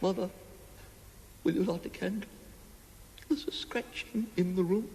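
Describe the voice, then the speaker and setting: An actor playing a bitter, taunting, delirious dying army cook in a television play, ranting theatrically and mockingly. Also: male voice, high voice, a little slow, vocal fry, darkish tone. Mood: teasing. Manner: theatrical, mocking, swinging from mutter to shriek. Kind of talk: television stage-play acting. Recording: echoey hall with long reverb.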